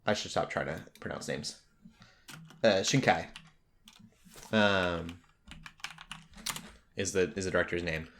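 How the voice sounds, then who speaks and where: A man's voice: low-pitched, slow pace, creaky, pauses often, fairly bright tone, a little hiss or hum. A man hosting a casual pop culture podcast, chatting and recommending things enthusiastically. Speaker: podcast host.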